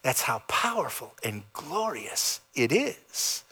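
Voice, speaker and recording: A man preaching a sermon as pastor, in a dramatic style that swings between loud emphasis and soft, low endings. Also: very animated, slow pace, breathy edge. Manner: dramatic preaching with big swings in pitch and loudness. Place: church sanctuary.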